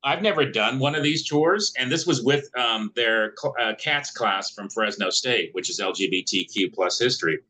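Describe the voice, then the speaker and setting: A man's voice: medium pitch, normal speed, few pauses, bright, forceful tone, poor audio. A man co-hosting a podcast, telling a story in casual, rambling chat with many fillers. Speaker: podcast co-host.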